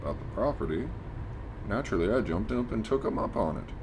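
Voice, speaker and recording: Man as low, lively, glib salesman over noise. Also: fairly quick, lightly acted. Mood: breezy persuasion. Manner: folksy, glib salesman talk. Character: breezy, persuasive male real estate dealer. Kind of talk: radio drama acting. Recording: clear background noise, engine-like hum.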